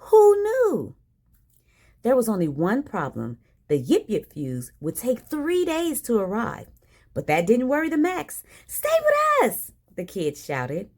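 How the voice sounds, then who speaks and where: Very animated woman reading a storybook. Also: medium pitch, measured pace. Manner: expressive, dramatic emphasis. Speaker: storybook reader. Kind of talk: animated children's read-aloud.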